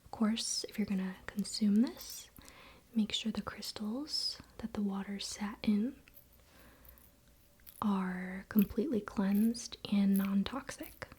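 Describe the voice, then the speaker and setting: A woman whispering softly in a breathy voice, speaking as an ASMR host giving calm spiritual guidance. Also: mid-range voice, slight background noise. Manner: soft, slow, unhurried.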